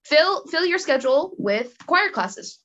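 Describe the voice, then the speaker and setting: A teenage girl guest on a podcast, chatting in a relaxed, easygoing way. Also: high voice, a little slow, muffled recording. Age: teens. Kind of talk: casual chat.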